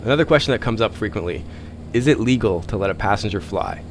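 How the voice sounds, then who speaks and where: A man's voice: on the low side, animated, quick pace, slight background noise. A man narrating a vlog as a pilot, chatty, informal and self-deprecating. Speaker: private pilot and video host.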